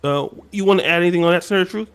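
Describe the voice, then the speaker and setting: A man's voice: high-pitched, racing, a bit bright, smooth. An American man hosting a casual podcast discussion, talking loosely with many restarts and fillers. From United States. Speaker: podcast host.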